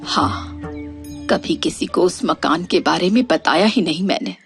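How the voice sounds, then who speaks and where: A woman playing a hurt, plain-spoken elderly mother in a Hindi cartoon story, with a husky, breathy voice. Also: fairly low pitch, animated, a little slow, soft background music. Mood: softening.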